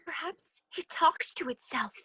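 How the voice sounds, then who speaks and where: A woman's voice: high-pitched, lively intonation, unhurried, fairly bright tone, whispered. A woman playing a prim, catty talking violet in a children's readers theater play. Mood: mocking. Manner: prim, mocking.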